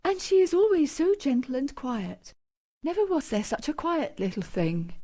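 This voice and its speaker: A woman's voice: on the high side, sing-song, unhurried, warm tone, dry recording, clean studio sound, pitched above the performer's own voice. A woman doing a cooing, doting mother. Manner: fond, cooing. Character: doting indulgent mother, a lady.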